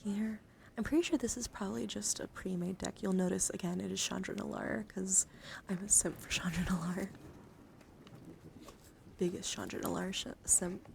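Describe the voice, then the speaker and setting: Woman, mid-pitched, heavy vocal fry, breathy whispering, soothing ASMR livestream chat, noticeably noisy recording. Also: fairly even intonation, moderate pace. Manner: gentle, soothing, intimate. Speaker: live streamer hosting ASMR. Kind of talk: ASMR, casual chat with viewers.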